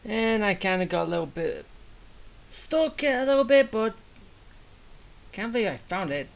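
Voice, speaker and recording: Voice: medium pitch, sing-song, measured pace, creaky. Chatty game streamer reacting casually. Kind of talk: casual playthrough commentary.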